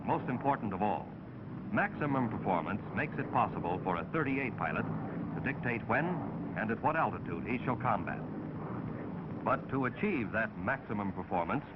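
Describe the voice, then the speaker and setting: A man's voice: lowish voice, animated, normal speed. A man reading a formal, emphatic scripted narration for a 1940s military training film. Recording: noisy, dull 1940s film soundtrack.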